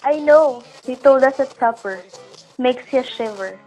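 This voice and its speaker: An actress playing a sweet, chatty, affectionate wife in an old-time radio drama, speaking in a homey conversational way. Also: female voice, high-pitched, a little slow, warm tone, soft background music, low-quality recording. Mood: affectionate, light. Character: chatty, sweet-natured wife who likes musicals. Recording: band-limited old radio recording.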